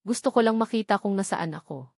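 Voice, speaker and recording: A woman's voice: mid-range voice, expressive, fast talker, creaky, a little mellow, no room sound, studio quality. A woman voicing an anxious, guarded young castaway woman in an audio drama.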